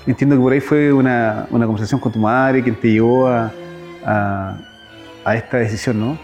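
A man's voice: medium pitch, fairly even intonation, moderate pace, a little mellow, dull audio, soft background music. A man hosting an interview, asking long questions with frequent hesitations and restarts. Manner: hesitant, many "eh" fillers and restarts. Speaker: interview host.

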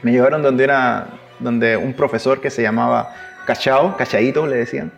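A man from Cuba with a Cuban accent touched by Chilean, telling stories in a relaxed interview, with restarts and laughs. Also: medium pitch, moderate pace, creaky, dull audio, soft background music. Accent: Cuban Spanish with Chilean traces. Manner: warm anecdotal telling, restarts, laughs at his own stories. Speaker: interviewee, double bass player. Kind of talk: relaxed conversational storytelling.

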